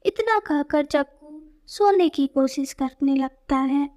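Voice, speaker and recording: Casting: female storyteller for children's tales, high voice, unhurried scripted narration. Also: a little mellow.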